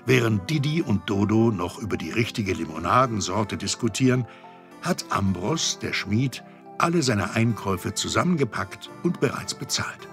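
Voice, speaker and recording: A genial storyteller with a lowish, gravelly voice. He narrates a scripted children's radio play over faint music. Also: lively intonation, normal speed, fairly bright tone. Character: genial adult male storyteller.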